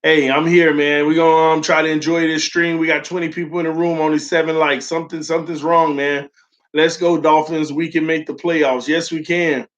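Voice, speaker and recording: A man's voice: on the high side, flat delivery, a little fast. A young man hosting a casual sports fan livestream, still getting over an illness that left his voice sore, talking loosely with lots of "um" fillers and calling his viewers "man" and "y'all.". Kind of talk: casual livestream chat, reading viewer comments. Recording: dull lo-fi stream audio.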